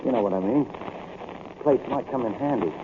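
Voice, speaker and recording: An American actor playing a nosy, pushy small-time crook with brash, slangy talk in a 1940s radio drama. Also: male voice, mid-range voice, brisk, natural voice. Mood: curious, scheming. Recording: muffled, hissy old radio recording.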